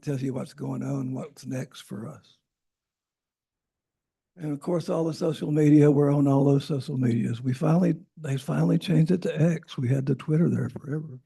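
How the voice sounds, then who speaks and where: A man's voice: mid-range voice, creaky, mellow, breathy edge. A man giving an informal, rambling presentation at a public meeting, often correcting himself as he goes. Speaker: transit agency presenter. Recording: Zoom meeting audio.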